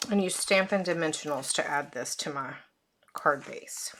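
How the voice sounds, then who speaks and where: A woman with a hoarse, fading voice, chatting casually with frequent fillers as she demonstrates card-making at her crafting desk. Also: deep voice, normal speed, a bit bright, slight background noise.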